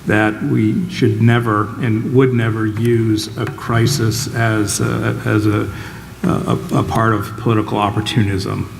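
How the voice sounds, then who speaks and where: A man serving as a dean on a panel, speaking earnestly and haltingly into a panel microphone at a public event. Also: on the low side, little pitch movement, vocal fry, a little hiss or hum. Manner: earnest, halting, with many restarts. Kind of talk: panel discussion answer.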